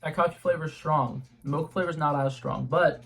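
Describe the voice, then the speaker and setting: Young guy vlogging a taste test at home, kinda high voice, rambly with lots of ums. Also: fairly even intonation, brisk, vocal fry, fairly bright tone, breathy edge. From New England. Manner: chatty and rambling, with many ums and restarts. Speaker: YouTube host. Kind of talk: casual product taste-test vlog. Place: home room with a color-changing globe light.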